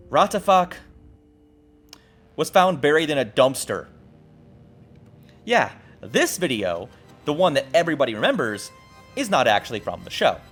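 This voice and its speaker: Lively, irreverent man narrating over music. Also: mid-range voice. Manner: irreverent, jokey, emphatic. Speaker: host of a video essay show. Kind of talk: scripted narration with casual, profane asides. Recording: music playing behind the voice. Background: background music.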